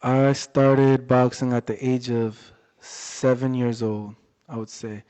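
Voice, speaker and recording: A young man being interviewed about his boxing life, talking in a relaxed, halting way with many fillers. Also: mid-range voice, clear, resonant tone. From Worcester, Massachusetts area. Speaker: boxer, interview guest. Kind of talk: casual interview chat. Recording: dull lo-fi audio in a reverberant room.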